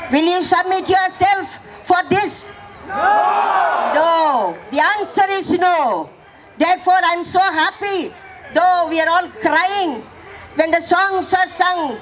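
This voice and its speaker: A woman, hoarse from speaking, gives an impassioned protest speech and fires rhetorical questions at the crowd. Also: extremely high pitch, a bit bright, low-quality recording. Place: outdoor protest gathering.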